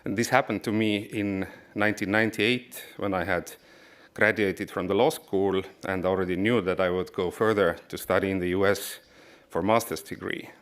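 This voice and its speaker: A man in his forties from Estonia delivers a formal lecture with a fairly low voice. He speaks in a measured, formal way and sometimes stops to restart a phrase. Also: steady tone. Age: 40s. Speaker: guest lecturer.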